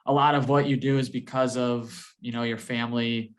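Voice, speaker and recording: A man's voice: mid-range voice, little pitch movement, normal speed. A man hosting a casual interview, friendly and loose, with lots of "you know" and "um". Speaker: host.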